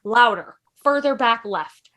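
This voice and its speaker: A woman's voice: on the high side, expressive, a little slow, pushed and bright. A woman giving dramatic, punchy scripted narration at an amateur table read, voicing sound effects as plain words. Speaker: narrator reading stage directions.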